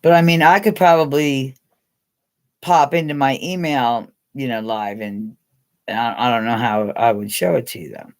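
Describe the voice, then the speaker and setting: A woman's voice: a bass voice, fairly even intonation, moderate pace. Middle-aged American woman, chatty livestream host, rambling and giggly. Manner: rambling, chatty, laughs often, talks straight to viewers by name. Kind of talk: casual live chat with viewers.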